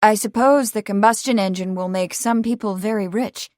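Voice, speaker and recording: A woman voicing a reserved, thoughtful lady in an audiobook, speaking in a measured, formal, inquiring manner. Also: mid-range voice, a little mellow, no echo, clean studio sound, natural voice. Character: reserved, thoughtful, questioning well-bred lady.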